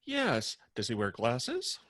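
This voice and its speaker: Man acting gossipy male roommate, twenties, sardonic, teasing, very animated intonation. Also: mid-range voice, slow pace, full character voice. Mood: playful. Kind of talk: table read acting.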